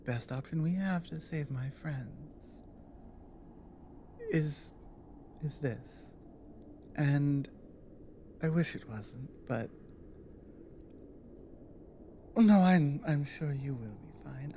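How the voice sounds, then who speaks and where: Casting: strong, protective female orc acted by a woman for ASMR audio drama. Unhurried, halting, earnest delivery, faint background ambience. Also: low voice, dark tone, slight background noise. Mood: worried, tender. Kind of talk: intimate ASMR roleplay monologue.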